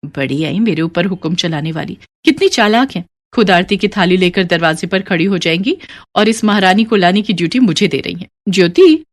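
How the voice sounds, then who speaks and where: A woman voicing a haughty, scheming young city-bred sister-in-law in an animated story, dismissive and sprinkling in English words. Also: lowish voice, normal speed. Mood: grumbling, scornful. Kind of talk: animated moral story character dialogue.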